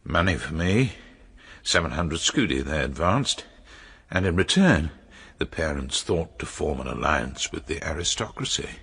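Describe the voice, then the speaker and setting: An actor playing a cold, sardonic, jealous nobleman in a period radio drama, sneering and theatrical, with a raspy voice. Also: rumbling low, lively intonation, unhurried, a bit bright, heavily acted. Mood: bitter, wry. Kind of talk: period radio drama acting, narration and dialogue.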